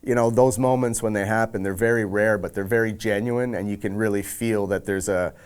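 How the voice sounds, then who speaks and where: Low, smooth-voiced man reflecting. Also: fairly even intonation, a little fast. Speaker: senior audio director at a game studio. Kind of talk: reflective making-of interview.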